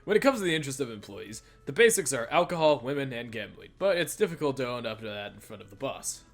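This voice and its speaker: A man's voice: medium pitch, very animated, fast. A man reading visual novel dialogue aloud in a casual way, often stumbling and repeating phrases as he goes. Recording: music behind the voice.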